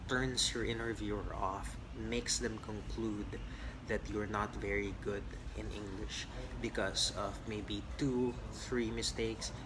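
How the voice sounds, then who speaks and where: A man's voice: lowish voice, background noise. A man from the Philippines coaching call center applicants in a casual, direct way, with many fillers and restarts. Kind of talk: live-stream Q&A coaching.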